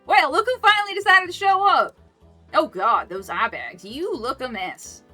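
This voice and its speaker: A woman's voice: high-pitched, animated, normal speed, pushed and bright, soft background music, voice pushed up. A woman voicing a friendly, teasing animal mother who runs a coffee shop, with an American Southern accent and sassy, folksy banter.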